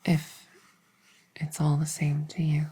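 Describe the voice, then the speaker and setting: Breathy, hushed young princess, acted by a woman. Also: low voice, completely flat delivery, heavily acted. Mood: earnest, soft. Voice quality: soft, breathy, often whispering. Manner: slow, hushed, deliberate, coy pauses. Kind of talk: intimate roleplay audio drama, ASMR-like.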